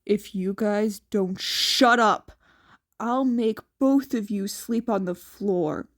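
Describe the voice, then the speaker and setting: Woman voicing a lowered, grumbling, bossy young man. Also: slow pace, lightly acted. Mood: exasperated, bossy. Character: gruff, exasperated young man, reluctant big brother.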